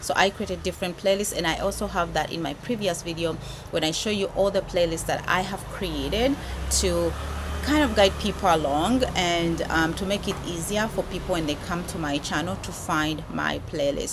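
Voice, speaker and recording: A woman's voice: on the low side, moderate pace, background noise. A woman from Uganda, a YouTube creator, talking casually to camera with many restarts and fillers. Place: filming while traveling abroad.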